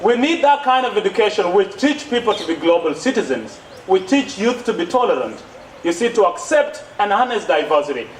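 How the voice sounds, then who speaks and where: A man's voice: high-pitched, very animated, a little fast. A young man with an East African accent making an emphatic public speech, repeating phrases and posing rhetorical questions. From born in South Sudan, grew up in Kenya. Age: young adult. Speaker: youth speaker at a conference plenary. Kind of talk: public speech, impassioned advocacy. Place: outdoor stage under trees at a podium. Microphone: thin gooseneck podium microphone. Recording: echoey, distant podium mic with background noise.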